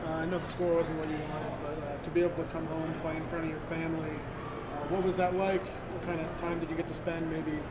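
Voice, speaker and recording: A male reporter asking a player questions at a press conference, hesitant and halting. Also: on the high side, flat delivery. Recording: echoey room, loud noise.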